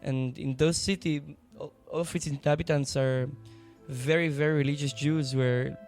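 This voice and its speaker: A young man from Israel doing national service, answering interview questions in a soft, thoughtful, hesitant way. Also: a little high, fairly even intonation, moderate pace, soft background music. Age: young adult. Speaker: guest, aid worker.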